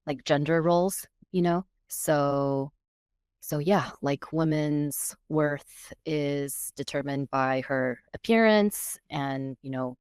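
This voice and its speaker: A woman's voice: deep voice, slow pace, darkish tone, smooth, dry recording, studio quality. A woman in her thirties, born in Korea with Korean as her first language and raised in California, talks reflectively as a podcast guest, searching for words as she goes. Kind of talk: casual chat.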